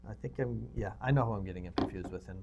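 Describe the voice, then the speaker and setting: A man's voice: lowish voice, very fast, creaky, a little mellow, rough recording. A man co-hosting a movie show in a casual, rambling way, sometimes muttering asides as he goes. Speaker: co-host of a movie review show. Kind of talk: casual unscripted movie chat, reading synopses aloud.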